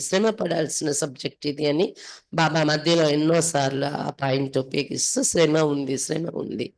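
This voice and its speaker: A woman's voice: low-pitched, vocal fry, darkish tone. Woman giving a devotional sermon. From India. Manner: instructive, with emphatic repetition and rhetorical questions. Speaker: spiritual teacher. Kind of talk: devotional reading with explanatory sermon.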